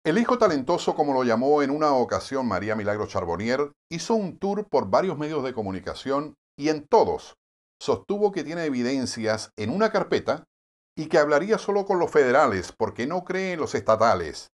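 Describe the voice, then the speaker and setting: A man's voice: mid-range voice, big pitch swings, brisk. A man with a Puerto Rican accent narrating a news commentary review of the year, in a wry, sardonic editorial tone. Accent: Puerto Rican Spanish.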